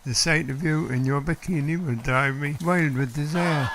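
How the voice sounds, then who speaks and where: Man as flirty English salesman, fairly high voice. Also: fairly even intonation, normal speed, a bit bright. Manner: flirty, teasing. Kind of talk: radio sitcom acting. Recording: amateur radio play, clear background noise, clicks.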